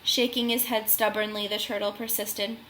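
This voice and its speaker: A woman's voice: medium pitch, little pitch movement, moderate pace, pushed and bright, slight background noise. A woman reading a storybook aloud expressively for young children, engaging the listeners as a host. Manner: gasps and questions addressed to young listeners.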